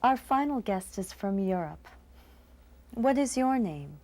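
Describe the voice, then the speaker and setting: A woman's voice: mid-range voice, animated, a little slow, warm tone, soft background music. A woman interviewer asking short, plain scripted questions.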